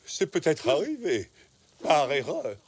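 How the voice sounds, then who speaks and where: Flustered zebra father, sheepish, blustering, extremely animated intonation, children's cartoon. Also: a little high, unhurried. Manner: hesitant, blustering excuses. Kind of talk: French children's cartoon dubbing.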